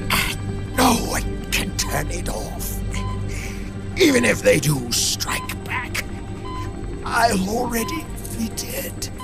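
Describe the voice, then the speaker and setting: An actor playing a middle-aged fanatical villain in a video game, gravelly and halting, theatrically serene and smug as he speaks like a dying man. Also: high-pitched, heavily acted. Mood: fervent, fading. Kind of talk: dramatic video game cutscene acting.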